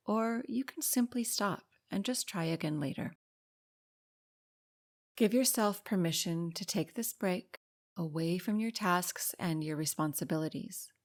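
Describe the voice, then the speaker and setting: A woman leading a guided meditation in a calm, soothing, unhurried way, pausing between short instructions. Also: fairly low pitch. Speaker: meditation guide and podcast host.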